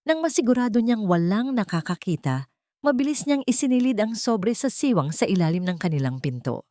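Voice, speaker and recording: A woman's voice: medium pitch, very animated, brisk, dark tone, dry recording, clean studio sound. A woman reading a scripted love story as a dramatic narrator.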